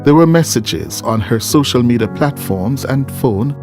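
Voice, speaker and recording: A man's voice: mid-range voice, expressive, unhurried. A man with a Jamaican accent reading scripted narration as a news documentary reporter. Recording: music behind the voice.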